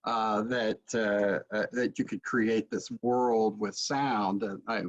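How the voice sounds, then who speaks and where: A middle-aged man chatting as an interviewer, musing and a little halting, on a video call from a room at home. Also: fairly low pitch, steady tone. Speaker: interviewer, theater teacher. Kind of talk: casual interview chat. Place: book-lined room at home, indoors. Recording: dull lo-fi video call audio.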